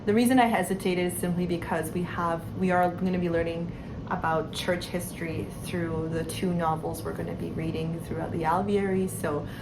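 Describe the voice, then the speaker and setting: A woman in her thirties, a homeschooling mother, talking to camera in an earnest, unhurried way with soft fillers. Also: fairly low pitch. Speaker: homeschooling mother sharing curriculum picks. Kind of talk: casual talk to camera. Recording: steady background noise.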